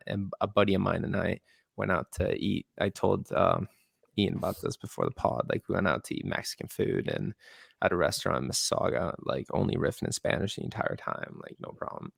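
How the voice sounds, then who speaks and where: A man's voice: low-pitched, creaky, no room sound, studio quality. A man co-hosting a casual podcast chat, loose and rambling, laughing and slipping into Spanish. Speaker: podcast co-host.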